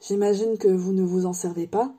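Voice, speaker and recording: A woman's voice: mid-range voice, fairly even intonation, moderate pace, mellow, breathy edge, close to an everyday voice. A woman doing an eerie old wand maker. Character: eccentric old male wand maker, intense. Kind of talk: audiobook character voice.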